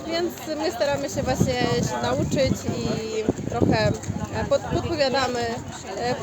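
A woman giving a spontaneous interview answer, hesitant and searching for words. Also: high-pitched. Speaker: volunteer organisation representative. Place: outdoor volunteering fair in a park. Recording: busy outdoor fair crowd noise.

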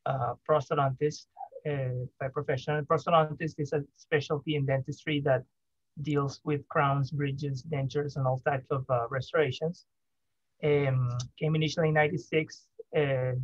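Male dentist, friendly and hesitant delivery, slightly high voice, dull webcam call audio. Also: dry recording. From Venezuela. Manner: friendly, hesitant, with many fillers. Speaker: dentist and professor. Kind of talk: conference presentation over video call. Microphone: video call webcam.

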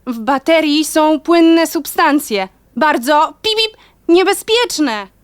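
A woman's voice: high voice, expressive, takes it slowly, noisy background. A woman voicing a knowing, boastful little toy car in a children's audio play, eagerly explaining and beeping. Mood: warning. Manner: eager, explaining, punctuated with beeps. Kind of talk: children's audio drama acting.

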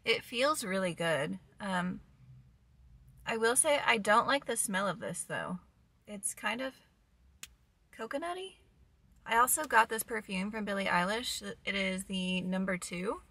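A woman's voice: mid-range voice, animated, a little slow, bright, forceful tone, roomy sound. A young woman in her twenties vlogging casually, chatting upbeat about products and meal prep in her car and kitchen. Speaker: lifestyle vlogger. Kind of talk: casual vlog chat to camera. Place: parked car, then home kitchen.

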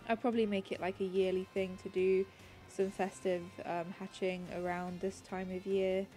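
A woman talks over her gameplay in a relaxed, meandering way, full of ums and restarts. Her voice is mellow and a little congested and raspy with a cold. Soft background music plays faintly under her voice. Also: mid-range voice, normal speed. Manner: rambling, many ums and restarts. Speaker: gaming channel host. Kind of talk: casual gameplay commentary.

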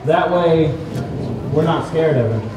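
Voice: medium pitch, slow pace. Echoey, distant fire safety show presenter. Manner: instructional, asks the audience simple questions. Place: large indoor mall, heard from far off. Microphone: far camera. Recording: distant echoey mall hall, noisy crowd.

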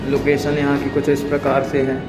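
A young man giving a casual walking tour of a mall at night, filmed on a handheld phone, with loud music playing behind him. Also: medium pitch, fairly quick. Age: young adult. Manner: repetitive, even-toned tour commentary. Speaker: vlogger. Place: large indoor mall and parking at night. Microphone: handheld phone camera.

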